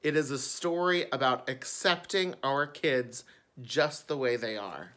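A man's voice: medium pitch, measured pace, fairly bright tone. A man, a drag queen, reading a picture book aloud expressively for children, with chatty asides. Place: indoors before a curtain.

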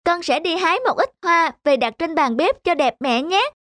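Cartoon fairy tale role: pampered, self-admiring little girl, piping high, closely recorded. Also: very animated, normal speed, fairly bright tone, dry recording, studio quality, full character voice. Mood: vain, coy. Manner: childlike, self-admiring, pleading. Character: vain, pampered young girl with long hair.

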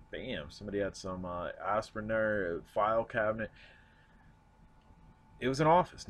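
A man narrating his vlog outdoors, earnest and reflective, often pausing and restarting. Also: lowish voice, a little hiss or hum. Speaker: urban explorer vlogger. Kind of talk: casual walk-and-talk narration. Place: outdoors at a snowy demolition site.

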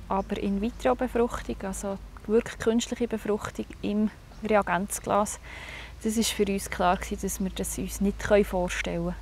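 Soft-spoken Swiss German woman. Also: medium pitch, slight background noise. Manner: soft-spoken, searching for words with small restarts. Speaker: interviewee. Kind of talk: personal interview. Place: indoor music room. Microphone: lapel.

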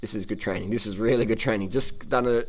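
Fast-talking Australian man, low voice. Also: a little hiss or hum. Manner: blunt, cocky, slangy, repeats phrases for emphasis. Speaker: cycling vlogger and commentator. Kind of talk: unscripted casual commentary over race footage.

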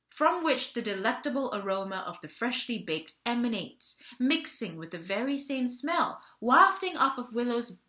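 A woman's voice: a little high, bright, forceful tone, reverberant. A woman in her thirties giving a theatrical scripted reading, with dramatic, emphatic storytelling, recorded at home on a webcam. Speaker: actor giving a staged reading. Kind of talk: theatrical scripted narration of a stage play. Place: small bare-walled room at home.

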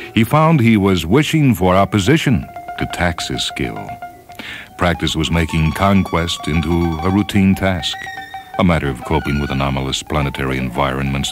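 A man reading a story as a dramatic narrator, with measured, solemn and deliberate phrasing. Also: a bass voice. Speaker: narrator reading short fiction. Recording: music under the voice. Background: orchestral piano music.

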